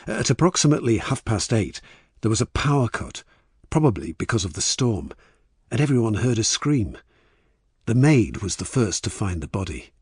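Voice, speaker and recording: A man's voice: on the low side, a little mellow, husky, no echo, studio quality, voice pushed up. A man voicing a deferential, slightly uneasy police sergeant reporting facts respectfully, in a mystery audiobook.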